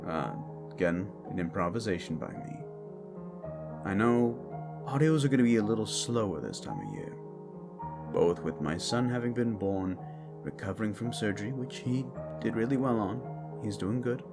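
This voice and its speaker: A man's voice: on the low side, expressive, normal speed, over music. Casual man addressing his listeners. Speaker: audio roleplay creator. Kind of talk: casual channel update to listeners.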